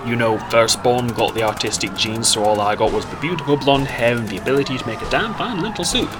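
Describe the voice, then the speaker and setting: A woman's voice: extremely low pitch, fast, background noise. A woman playing a sardonic, cheeky teenage schoolgirl in an audio drama.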